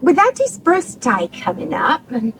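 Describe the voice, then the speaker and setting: An actress playing a forthright country wife in a radio soap, wheedling and plain-spoken. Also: female voice, high-pitched, huge pitch swings, measured pace, fairly bright tone, a little hiss or hum, heavily acted. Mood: coaxing. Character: forthright, coaxing working-class country wife. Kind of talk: naturalistic radio soap acting.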